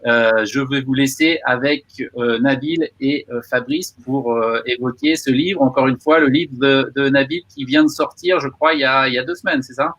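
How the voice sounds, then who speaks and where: A man hosting an event, introducing two speakers in a halting way with many fillers. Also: mid-range voice, fairly bright tone. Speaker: event host.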